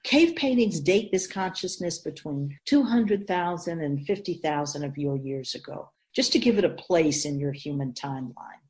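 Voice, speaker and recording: A woman playing a wise otherworldly spirit in a radio drama, explaining things patiently in a measured, knowing way. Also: deep voice, lively intonation, a little mellow. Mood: patient, explanatory. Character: Keeper of Souls, wise otherworldly spirit in female voice.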